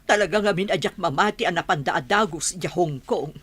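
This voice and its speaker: A woman's voice: fairly low pitch, quick pace, fairly bright tone, breathy edge, no echo. A woman acting as an earnest, concerned middle-aged mother in a radio drama. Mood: anxious.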